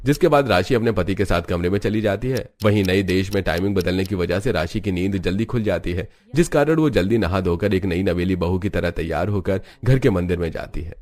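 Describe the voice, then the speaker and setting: A man's voice: deep voice, a little fast, hardly any pauses, darkish tone. A man narrating a scripted cartoon family story.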